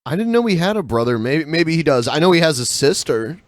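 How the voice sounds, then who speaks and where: A man's voice: a little high, very animated, a little fast, no room sound, clean studio sound. A man co-hosting a casual podcast, exasperated and dismissive, swearing freely. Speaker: podcast co-host.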